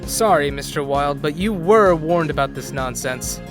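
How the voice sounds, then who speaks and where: A flippant, excitable male cheetah judge with a lively voice that leaps up and down, as a woman plays him. He talks theatrically, with clear music behind. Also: deep voice, moderate pace. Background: background music.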